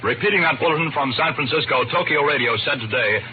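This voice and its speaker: A man's voice: a little high, quick pace, narrow, tinny audio, background noise. A man reading urgent bulletins as a 1940s radio newsreader, on an old archive recording.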